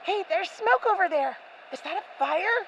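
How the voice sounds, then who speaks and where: A woman's voice: very high-pitched, animated, normal speed, slight background noise, poor audio. A woman playing a loyal, cautious little boy adventurer in an audio drama, childlike and plain-spoken. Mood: excited.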